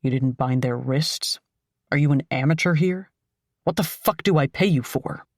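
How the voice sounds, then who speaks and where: Woman putting on a gruff male kidnapper boss. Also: very deep voice, moderate pace, a little mellow, no room sound, studio quality, pitched below the performer's own voice. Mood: menacing. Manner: cold, contemptuous, threatening.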